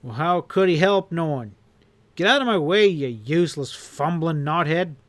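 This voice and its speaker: A man's voice: on the high side, normal speed, sharp tone, no echo. A man voicing a hard, furious outlaw leader who roars and snarls his threats. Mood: shouting. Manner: snarling, roaring, ominous.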